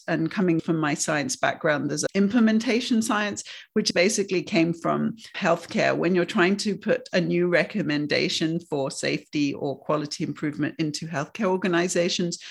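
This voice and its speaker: Interview host: reflective and thoughtful, gravelly mid-range voice, asks long questions. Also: medium pitch.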